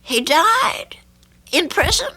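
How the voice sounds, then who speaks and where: An actress playing an earnest, troubled older landlady in an old-time radio drama, with a hesitant, halting delivery. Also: extremely high pitch, sing-song, bright, forceful tone, heavily acted. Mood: anxious, insistent.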